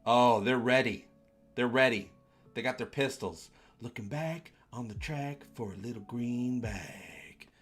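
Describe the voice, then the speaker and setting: A man's voice: mid-range voice, measured pace, husky, soft background music. A man hosting a read-along, tossing in goofy, playful asides. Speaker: host.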